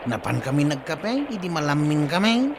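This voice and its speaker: A man's voice: on the high side, very animated, moderate pace. A man with an Ilocano accent acting as an eager, deferential village official in a comedy drama. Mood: respectful. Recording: noisy outdoor location sound.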